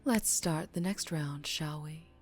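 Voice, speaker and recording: A woman playing a dangerously charming female mafia boss in an ASMR roleplay, teasing and menacing, with deliberate purring delivery that drops toward a whisper. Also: deep voice, faint music behind. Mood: smug, watchful. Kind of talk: ASMR roleplay audio drama, addressing the listener.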